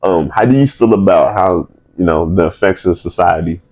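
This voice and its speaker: A man with a deep voice hosting a podcast interview, relaxed and upbeat, laughing often. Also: normal speed. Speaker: podcast host. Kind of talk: casual interview chat.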